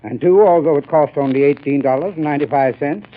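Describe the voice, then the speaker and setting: An actor playing an enthusiastic, friendly heating expert giving a 1930s radio sales talk. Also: male voice, on the high side, fast talker, natural voice. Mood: earnest, folksy. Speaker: sponsor's spokesman. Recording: tinny, hissy old radio recording.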